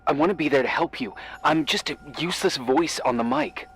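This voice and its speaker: A man's voice: a little high, animated, fairly quick, creaky, a bit bright, breathy edge, heavily acted. An actor playing an awkward, earnest young man in a video game, speaking hesitantly and helpfully as a voice on a phone. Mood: flustered, shy. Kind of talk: naturalistic video game voice acting.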